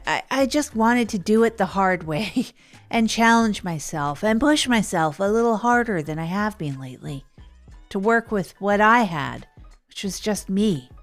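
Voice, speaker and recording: A woman's voice: medium pitch, close to an everyday voice. A woman playing an earnest, self-effacing radio host who tells her misadventures in a chatty, hesitant, improvised way. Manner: hesitant, rambling, chatty, chuckles at herself. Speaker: narrator and radio show host. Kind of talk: improvised first-person audio drama monologue.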